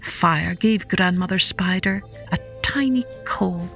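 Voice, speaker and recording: Female kids' storyteller, unhurried, expressive, dramatic scripted read, light music underneath. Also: on the low side, gravelly. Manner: dramatic, deliberate, with repetition for effect. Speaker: children's podcast storyteller.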